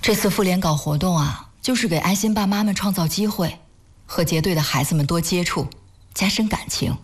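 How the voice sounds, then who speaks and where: Caring earnest wife in a radio drama, bit husky, soft-hearted. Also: female voice, lowish voice, brisk. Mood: sorrowful. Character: caring, tender-hearted wife devoted to charity work. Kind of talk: sentimental audio drama dialogue.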